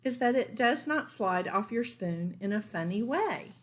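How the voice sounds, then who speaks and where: A woman's voice: mid-range voice, lively intonation, slight background noise, natural voice. A woman voicing a fussy, playful young girl who chants her little songs in a storybook reading. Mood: fussy sing-song. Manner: sing-song, drawn-out little chants.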